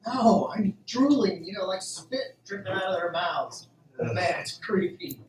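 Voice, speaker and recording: Roomy distant sound, actor as young male farmhand, highish voice, casual, wry, uneasy, radio play. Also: lively intonation, unhurried. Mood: unsettled. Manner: sarcastic, casual, colloquial. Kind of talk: amateur radio drama acting. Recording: roomy, distant, faint background noise.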